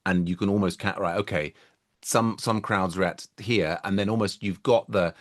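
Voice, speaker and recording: A man hosting an interview, talking casually and chiming in with quick, eager affirmations and restarts. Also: low voice, steady tone. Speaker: podcast host and interviewer.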